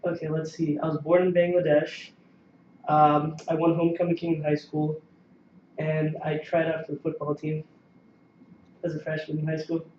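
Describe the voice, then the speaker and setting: A man's voice: on the high side, completely flat delivery, moderate pace, long pauses, darkish tone, slight background noise. A young man chatting casually as a member of a student meeting. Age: young adult. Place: meeting room. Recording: distant muffled mic in echoey room.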